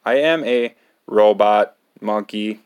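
A man voicing a silly robot monkey in a children's storybook, with exaggerated, drawn-out nonsense sounds. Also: fairly low pitch, clear, resonant tone. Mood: silly, boastful. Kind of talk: playful children's picture-book reading.

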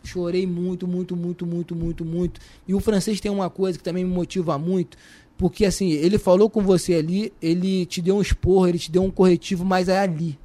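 High-voiced Brazilian man telling a story. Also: fairly quick. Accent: Brazilian Portuguese. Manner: animated, colloquial, repeats and restarts. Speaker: guest telling his story. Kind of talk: casual storytelling.

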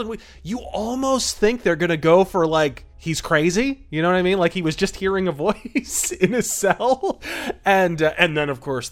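A man hosting a podcast, giving enthusiastic, wordy and emphatic review commentary. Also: high voice. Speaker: podcast host.

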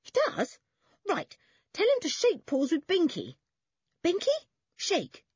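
A woman voicing an eager, bossy, hearty farm boy in a children's storybook, in a breezy, shouting manner. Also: extremely high pitch, huge pitch swings, takes it slowly, dry recording, highs cut off, voice pushed up, heavily acted.

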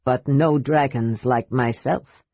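A woman voicing a grave, wise old male gold dragon who speaks slowly and sternly. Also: extremely low pitch, steady tone, mellow, no room sound, lo-fi sound, pitched below the performer's own voice, heavily acted. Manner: stern, grave, slow and measured.

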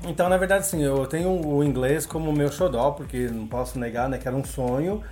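A man's voice: fairly high pitch, brisk, slight background noise. Brazilian man telling stories at an easy pace. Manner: relaxed storytelling, many filler tags. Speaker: guest, English teacher. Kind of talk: casual podcast interview.

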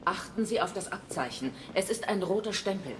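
A woman's voice: mid-range voice, expressive, a little fast, a little hiss or hum, lightly acted. A woman playing a resolute, grave rebel leader, speaking formally and earnestly in a dramatic video game audio play. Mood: instructing.

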